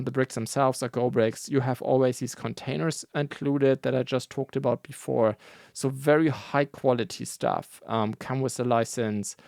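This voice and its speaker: A man whose first language is not English, hosting a casual news roundup in a relaxed, rambling way, with many fillers and self-corrections. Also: medium pitch, gravelly, dry recording, clean studio sound. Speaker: host of a brick building news show.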